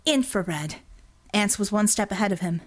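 Audiobook narrator, female, mid-pitched voice, scripted fiction storytelling. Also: expressive, normal speed.

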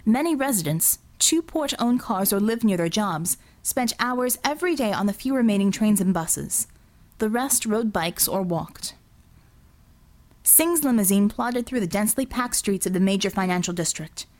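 Expressive woman narrating fiction, animated pitch. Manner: deliberate, expressive storytelling. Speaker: audiobook narrator. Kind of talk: scripted narration of dramatic fiction.

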